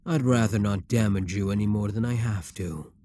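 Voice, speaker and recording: A man's voice: fairly low pitch, normal speed, warm tone, a little hiss or hum, close to an everyday voice. A man voicing a possessive, scheming male demon king in an audio roleplay, teasing and condescending. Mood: coaxing.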